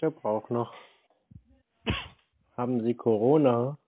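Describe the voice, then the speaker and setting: Terse, deadpan man on a tinny phone line. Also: medium pitch, takes it slowly, slightly rough. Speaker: scam baiter. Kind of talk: unscripted phone conversation.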